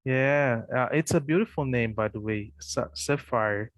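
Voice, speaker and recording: Man, Brazilian Portuguese accent, hesitant, lots of fillers, unhurried delivery. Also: mid-range voice, no echo. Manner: hesitant, many fillers and restarts. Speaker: English learner. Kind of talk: casual online English practice conversation.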